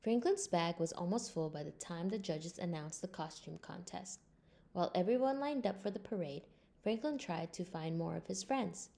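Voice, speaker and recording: A woman reading a scripted children's storybook aloud in an expressive way. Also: on the low side, moderate pace, gravelly. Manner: expressive, acts out character lines. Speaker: storybook reader.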